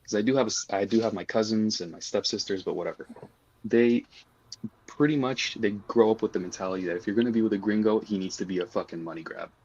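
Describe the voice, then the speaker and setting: Husky low-voiced man, dull sound. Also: fast, darkish tone. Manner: earnest, cautionary. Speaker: guest. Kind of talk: casual discussion.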